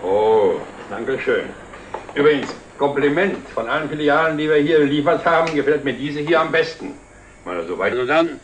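An actor playing a jovial, easygoing middle-aged company director in a 1960s TV drama. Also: male voice, fairly high pitch, lively intonation, normal speed, noisy background, lightly acted. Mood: cheerful, easygoing. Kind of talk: 1960s German television drama acting. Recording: old TV soundtrack, music behind.

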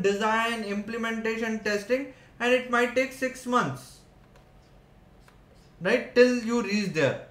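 A man in his thirties walks through a whiteboard lesson in a high voice. He keeps checking in with his listeners as he goes. The room around him rings with echo. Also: a bit bright. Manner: explanatory, frequent "right?" and "okay" checks. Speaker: software engineering teacher. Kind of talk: whiteboard tutorial. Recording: echoey room.

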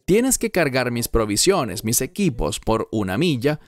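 A man dubbing an earnest Bible teacher in his fifties, delivering a verse-by-verse sermon with emphatic, deliberate phrasing. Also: mid-range voice, big pitch swings.

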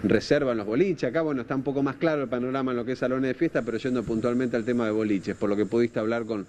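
A man in his thirties with a Rosario Argentine accent, reporting live on TV in an informal, halting way, repeating words as he thinks. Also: mid-range voice, fairly even intonation, darkish tone, slight background noise. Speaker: TV field reporter. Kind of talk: live unscripted news reporting. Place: outdoors at night by a park. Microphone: handheld microphone, through a face mask.

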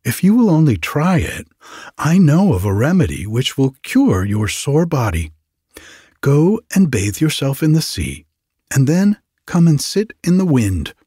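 A man voicing a spiteful young prince who feigns kindness, in a slow storybook reading. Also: fairly high pitch, very animated, mellow, slightly rough, dry recording, studio quality, voice pushed up, exaggerated voice. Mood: falsely kind.

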